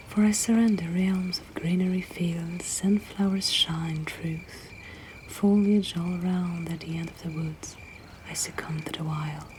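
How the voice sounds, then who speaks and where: Whispering woman reading poetry slowly. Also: fairly low pitch, a little hiss or hum, rough recording. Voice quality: breathy, whispered. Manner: hushed, measured, deliberate. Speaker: poetry reader and host.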